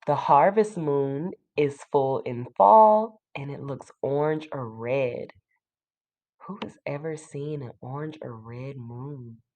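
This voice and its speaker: A woman teacher reading a children's book aloud to a class, pausing to explain simply and put questions to young listeners. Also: rumbling low, warm tone, lo-fi sound.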